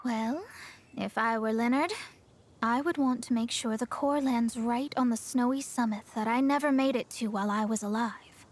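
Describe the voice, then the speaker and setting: A woman's voice: on the high side, moderate pace, close to an everyday voice. A woman playing a proud, self-assured young female astrologist in a video game, speaking in a haughty, articulate, explanatory way. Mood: explaining. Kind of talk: video game character acting. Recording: music behind the voice.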